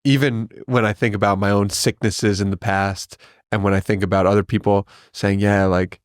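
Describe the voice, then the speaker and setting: A man's voice: lowish voice, little pitch movement, moderate pace, no room sound, clean studio sound. A man in a casual spiritual conversation, talking thoughtfully and affirming, with easy laughter. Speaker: podcast guest.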